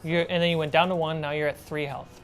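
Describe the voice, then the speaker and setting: A woman's voice: low-pitched, fairly even intonation, fast talker. A woman narrating a tabletop role-playing game as game master.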